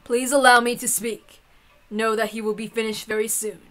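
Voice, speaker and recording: A dutiful lady attendant played by a woman reader, formal and deferential. The voice is high and bright, fit for a visual novel servant. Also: little pitch movement, normal speed, natural voice. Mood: solemn.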